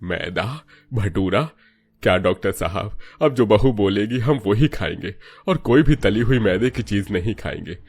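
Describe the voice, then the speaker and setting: Man doing older father in law in a cartoon, lowish voice, hearty, kinda patronising. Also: lively intonation, measured pace, a little mellow, slightly rough, full character voice. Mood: shocked, apologetic. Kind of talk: Hindi cartoon story voice acting.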